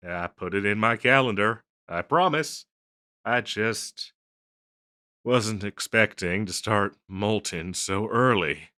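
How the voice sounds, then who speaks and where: Guy playing a flustered harpy boyfriend, deep bright voice, stammery n apologetic, intimate audio rp. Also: slow pace, dry recording, studio quality. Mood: embarrassed, apologetic. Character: flustered, self-conscious young male harpy boyfriend, tender and apologetic. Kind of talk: scripted audio roleplay, intimate one-sided dialogue.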